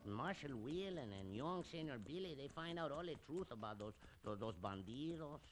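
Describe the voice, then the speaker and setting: An actor playing a jolly, boastful Mexican cowboy sidekick with exaggerated broken English in an old-time radio western. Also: male voice, fairly high pitch, lively intonation, normal speed, soft background music, close to an everyday voice. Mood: earnest. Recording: noisy old radio recording.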